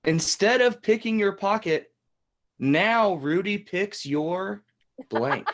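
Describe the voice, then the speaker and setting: A woman's voice: medium pitch, expressive, slow pace. A woman playing a chatty, reassuring game show host in an improvised parody, stretching words for suspense like an announcer. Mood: upbeat, reassuring.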